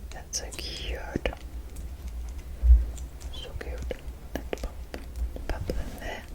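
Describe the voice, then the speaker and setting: Slow, whispering ASMR woman. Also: poor audio. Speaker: ASMR host. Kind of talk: whispered ASMR doll showcase. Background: tapping and rustling of small objects.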